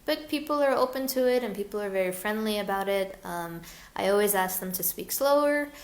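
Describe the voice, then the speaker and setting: A woman's voice: medium pitch, expressive, a little fast, reverberant. A woman speaking as a guest in a live online Q&A, talking earnestly with many fillers and restarts. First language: English. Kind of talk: live webinar Q&A.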